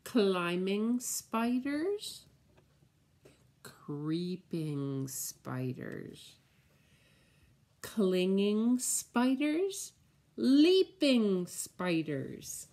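A middle-aged woman, a preschool teacher, reading a picture book aloud to small children and explaining things simply in a deliberate, drawn-out way. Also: medium pitch, big pitch swings, warm tone. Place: indoors, room at home with window blinds.

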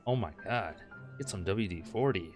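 A man with a fairly low voice reads a visual novel aloud as a gaming host. He is irreverent and crude, breaking off for joking asides, with clearly audible music playing behind him. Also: expressive, moderate pace, a little mellow. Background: game music.

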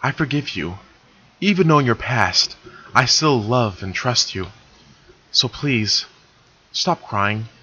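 Male reader as stallion: sly, teasing, bright, lo-fi. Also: medium pitch, very animated, normal speed, breathy edge, heavily acted. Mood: tender.

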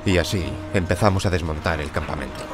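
A deep-voiced, obsessed archaeologist in an audio drama, acted by a man. He is weary and brooding, with dramatic delivery. Music plays clearly behind him. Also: fast, close to an everyday voice. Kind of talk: Spanish audio drama acting and narration.

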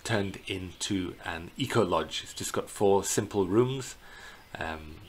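Low-voiced man, halting, noisy recording. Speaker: bird tour guide presenting a virtual tour. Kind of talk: unscripted slideshow narration. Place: indoors in a small plain room. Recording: clear background noise.

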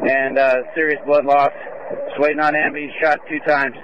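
Man, officer on scene, flat steady voice, dull radio audio, faint noise. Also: fairly high pitch, brisk. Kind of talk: field radio report.

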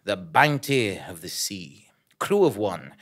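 A self-important journalist narrator played by a man in a comic audio play. His pitch swings wildly and his delivery is pompous and melodramatic. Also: very animated, takes it slowly, fairly bright tone, exaggerated voice. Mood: pompous narration. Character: self-important, easily terrified male investigative journalist narrator. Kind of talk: comic first-person narration in live audio drama.

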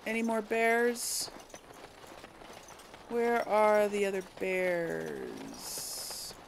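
A woman's voice: mid-range voice, huge pitch swings, very slow, creaky, a little hiss or hum. A woman giving casual let's play commentary, chatting to viewers and musing aloud as she goes. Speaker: gaming video host. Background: video game ambience and effects.

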